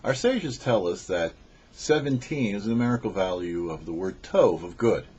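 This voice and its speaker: Lively low-voiced rabbi teaching. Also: male voice, muffled recording, a little hiss or hum. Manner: measured, lively teaching delivery with slow emphatic phrases. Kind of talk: short weekly Torah talk. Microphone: studio microphone.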